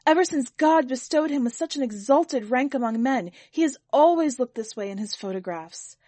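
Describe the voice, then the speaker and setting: A woman voicing an eager, obsequious manservant who flatters and reassures his master in a story. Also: high-pitched, normal speed, no echo, voice pushed up. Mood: eager flattery.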